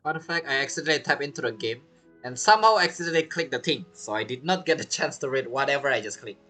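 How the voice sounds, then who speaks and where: A woman's voice: extremely low pitch, animated, fast talker, fairly bright tone, soft background music. A woman giving casual reaction commentary as she plays through a video game story. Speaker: gameplay streamer.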